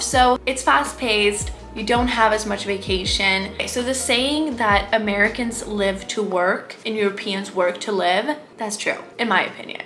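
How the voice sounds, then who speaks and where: A young woman talks casually and candidly to her camera, her plain under easy, filler-laden speech. Her pitch sits in a middle range for a woman. Soft music plays clearly behind her. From Sweden. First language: Swedish. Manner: chatty and candid, lots of "like". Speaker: vlogger. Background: background music.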